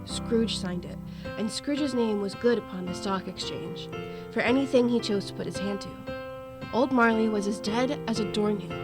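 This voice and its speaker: A woman's voice: medium pitch, moderate pace, music in the background, natural voice. A woman playing a wry, emphatic storybook narrator in a radio drama. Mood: wry storytelling.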